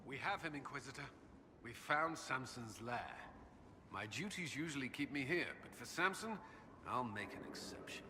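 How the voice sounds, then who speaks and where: An actor playing an earnest, stern military commander in a video game, speaking gravely and often dropping into a breathy murmur. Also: male voice, mid-range voice, lively intonation, moderate pace, natural voice. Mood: grave, resolute. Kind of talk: dramatic video game acting. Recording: clear background noise.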